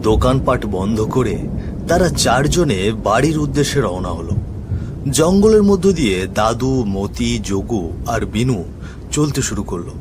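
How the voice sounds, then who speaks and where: Expressive male story narrator. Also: mid-range voice, a little slow, background noise. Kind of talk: dramatic scripted storytelling.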